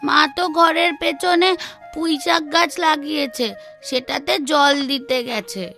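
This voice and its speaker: A woman voicing village women in a children's cartoon with expressive, emphatic dramatic delivery. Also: high voice, a little slow, creaky, faint music behind, heavily acted. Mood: curious, sweet. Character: village women: maid, mistress, wife, daughter. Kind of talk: Bengali children's cartoon voice acting.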